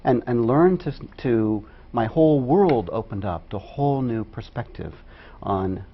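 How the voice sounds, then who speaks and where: A man from Ohio answering interview questions about sign language, telling stories in an animated way. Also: medium pitch, normal speed, slightly rough. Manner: animated storytelling. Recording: dull lo-fi studio recording.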